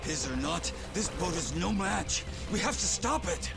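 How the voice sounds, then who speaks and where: A man's voice: sits high, animated, a little slow, vocal fry, heavily acted. An actor playing an intense, decisive male fighter in a video game, clipped and urgent. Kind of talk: English video game dub acting. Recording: loud music behind the voice.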